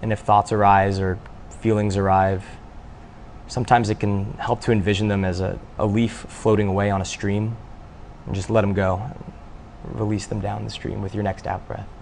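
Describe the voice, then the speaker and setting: A man guiding a breathing meditation, speaking in short, unhurried instructions with long pauses between them. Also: fairly low pitch, vocal fry. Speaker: meditation guide. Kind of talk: guided meditation, spoken instruction. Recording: faint steady background noise.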